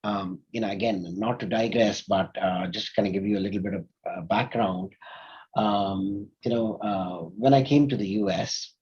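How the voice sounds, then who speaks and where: A man's voice: on the low side, steady tone, moderate pace, darkish tone, husky, muffled recording. A man in his forties from India, a company CEO, talking in a casual interview with many fillers and restarts. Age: 40s.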